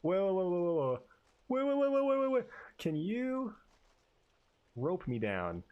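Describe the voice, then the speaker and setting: A man's voice: sits high, huge pitch swings, unhurried, clean tone, narrow, tinny audio. A man casually commentating his gameplay, cursing and rambling with self-mocking jokes. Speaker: let's player.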